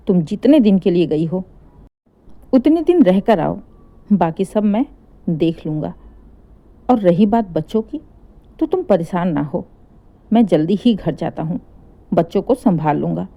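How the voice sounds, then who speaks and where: A woman voicing a calm, reassuring husband who speaks gently to his wife in a family story. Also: fairly low pitch, highs cut off.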